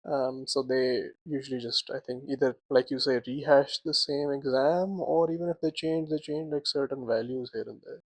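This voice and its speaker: A young man co-hosting a casual podcast chat, animated, laughing often and filling his talk with "like" and "right?". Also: medium pitch, moderate pace, few pauses, dry recording. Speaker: podcast co-host.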